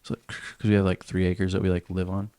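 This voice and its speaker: Young male podcast co-host, quick low voice, crude casual banter. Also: level tone, dark tone, clean tone. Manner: loose, swearing, joking. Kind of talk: casual chat.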